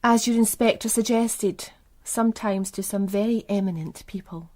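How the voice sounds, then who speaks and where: A woman's voice: medium pitch, moderate pace, a little mellow, close to an everyday voice. An actress playing a cool, calculating and seductive businesswoman in a radio crime drama, poised, guarded and knowing. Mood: disdainful. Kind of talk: naturalistic radio drama dialogue.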